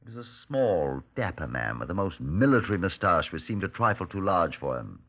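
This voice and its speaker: An actor playing a measured, courteous middle-aged British Scotland Yard superintendent, narrating and questioning in a 1950s radio drama. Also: male voice, deep voice, very animated, full character voice. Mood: reflective narrating. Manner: measured, polite, probing. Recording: muffled 1950s radio recording.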